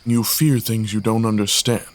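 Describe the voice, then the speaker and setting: An actor playing an aloof, not entirely human man who speaks in a measured, formal way in an audio drama. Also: lowish voice, fairly even intonation, no room sound, close to an everyday voice. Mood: reserved. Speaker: lead character.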